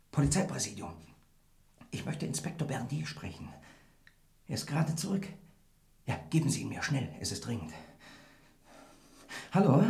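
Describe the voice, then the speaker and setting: Loyal gruff pal in a german crime radio play, pushy and coaxing, really lively pitch. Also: male voice. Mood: urgent, secretive. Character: Maurice, gruff, loyal, protective male friend.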